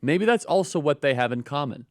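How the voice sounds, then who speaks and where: A man's voice: a little high, expressive, brisk, dry recording, clean studio sound. A man hosting a talk show, emphatic and enthusiastic as he puts questions to a guest. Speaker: show host.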